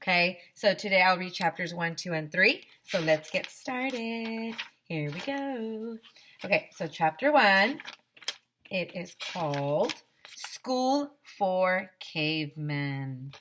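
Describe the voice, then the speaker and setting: A woman teacher reading a children's story aloud expressively to her students, with animated emphasis and direct asides to the children. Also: on the low side, takes it slowly, a little hiss or hum.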